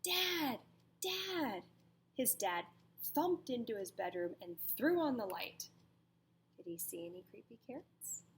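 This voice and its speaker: A woman's voice: medium pitch, big pitch swings, a little mellow, slight background noise. A woman teacher reading a storybook aloud to children expressively, with dramatic emphasis and asides to her listeners. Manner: dramatic pauses, emphatic, questions to young listeners.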